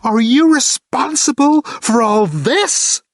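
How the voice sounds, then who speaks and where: A man voicing an exasperated, sharp-tongued young woman who snaps back sarcastically in an audiobook. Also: fast talker, slightly rough, no echo, clean studio sound, close to an everyday voice. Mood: furious. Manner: shrill, sarcastic outbursts. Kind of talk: audiobook character voice.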